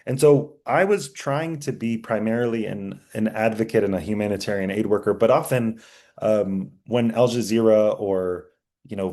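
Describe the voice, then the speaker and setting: A young man teaching a class, talking earnestly with many fillers, restarts and pauses. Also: on the low side, dark tone. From United States. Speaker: journalism instructor. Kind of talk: class lecture with slides.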